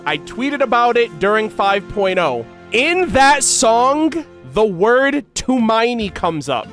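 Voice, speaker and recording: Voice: mid-range voice, sing-song, takes it slowly, fairly bright tone, faint music behind. A streamer giving casual, slangy, exclamatory reaction commentary on a game to chat. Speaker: reaction video creator.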